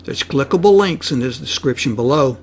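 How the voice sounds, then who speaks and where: A man's voice: mid-range voice, animated, fairly quick, slightly rough. A man hosting a channel, reading a plain, friendly introduction. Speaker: channel host.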